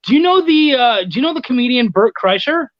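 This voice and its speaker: A middle-aged man with a distinctly high voice chats as a podcast guest. He is chummy and sweary, telling things casually. The sound is dry and a little lo-fi, as on an online call. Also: expressive, brisk, no echo. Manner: chummy, profane. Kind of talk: casual storytelling chat.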